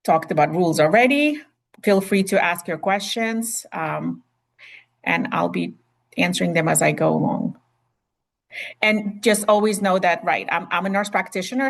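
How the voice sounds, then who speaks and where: A middle-aged woman from Ukraine with a Ukrainian accent, a nurse practitioner giving a webinar talk in a chatty way full of fillers and restarts, heard over a video call. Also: fairly low pitch. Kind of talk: webinar presentation.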